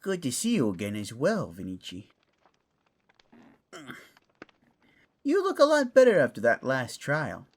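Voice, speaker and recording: An eccentric old-world fantasy lord as acted for an audio roleplay, his pitch swooping widely as he chatters. He is genial and courtly, with a mischievous theatrical flourish. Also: huge pitch swings, a little fast. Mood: courteous.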